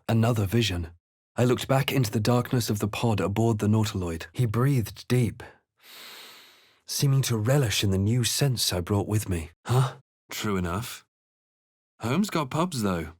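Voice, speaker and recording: Casting: brooding male adventurer for a fantasy video game, mid-pitched, earnest, theatrical, dry studio sound. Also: no echo. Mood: wry, casual. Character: brooding male fantasy adventurer hero, narrating his visions and deeds. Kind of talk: dramatic video game voice acting.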